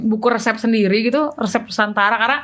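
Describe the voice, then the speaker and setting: A woman with a higher voice hosts a relaxed talk show. She talks fast and keeps the conversation going with a steady stream of questions. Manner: chatty, prompting questions. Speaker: host. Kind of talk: casual chat.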